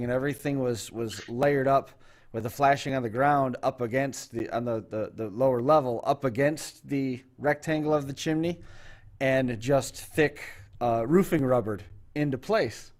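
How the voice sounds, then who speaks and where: Male talk show host, mid-range voice, casual and rambling, takes his time through a story. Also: medium pitch. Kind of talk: casual chat.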